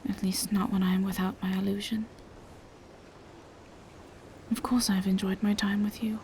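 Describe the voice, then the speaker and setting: A woman voicing a gentle, bashful female vampire in an intimate roleplay audio, speaking in soft, hesitant half-whispers. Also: mid-range voice, a little hiss or hum, natural voice, poor audio. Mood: flustered, shy, then tender, wistful. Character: gentle, bashful female vampire in monstrous true form, lovestruck.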